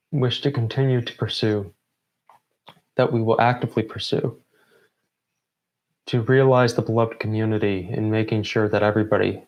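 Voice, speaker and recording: A man delivering a prepared closing address in deliberate phrases broken by pauses. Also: mid-range voice. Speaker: member of a student theatre group giving closing remarks.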